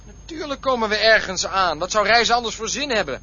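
An actor playing an eager, insistent traveller who craves company, in an absurdist radio play. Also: male voice, sits high, expressive, fairly quick, pushed and bright, exaggerated voice. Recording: muffled, faintly noisy radio drama recording.

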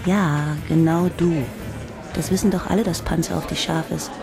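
An actress playing a brash, crude-mouthed colleague in a radio drama, blunt, casual and teasing. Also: female voice, fairly low pitch, fairly even intonation, normal speed, gravelly, over music.

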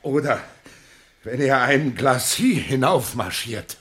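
An actor playing a good-natured, excitable gentleman and old soldier in a German radio play, with hearty, emphatic, old-fashioned diction. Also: medium pitch, slow, heavy background noise. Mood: excited, proud.

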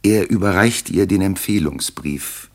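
A man narrating a radio drama from a script in a measured, formal storytelling way. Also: deep voice, darkish tone.

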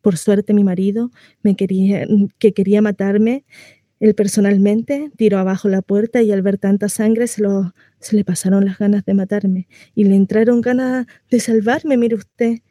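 A woman performs a housewife shut in at home, telling her story to a neighbour in a radio monologue. Her voice is very mellow and mid-pitched, recorded dry. She rambles conversationally, naive and confiding, tossing out rhetorical questions. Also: fairly even intonation, normal speed, dry recording, natural voice. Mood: breathless recounting.

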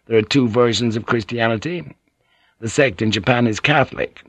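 A man's voice: medium pitch, normal speed, slightly rough, dry recording, lightly acted. A man voicing a wary ship pilot who speaks carefully and deferentially.

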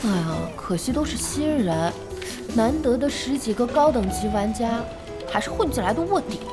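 A woman plays an eager, naive young boss of a gaming team in an audio drama. Her high, girlish voice swoops and exclaims, with music clearly behind it. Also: animated, normal speed, close to an everyday voice. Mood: curious, chatty. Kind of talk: Chinese audio drama acting.

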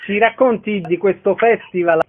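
A man's voice: high-pitched, normal speed, phone-quality sound. A man hosting a radio programme, announcing events and putting questions to guests. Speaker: radio host, interviewer.

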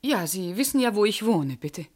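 An actress playing a composed, sharp-witted, articulate woman in a German radio crime drama. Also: medium pitch, expressive, moderate pace, husky. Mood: guarded, polite.

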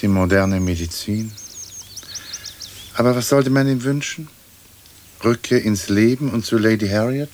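An actor playing a dead aristocratic lord in a radio play, speaking formally in measured, old-fashioned, dry phrases. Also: male voice, on the low side, a little mellow, slight background noise, close to an everyday voice. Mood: composed. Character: aristocratic dead English lord, dignified, dry, long-winded.

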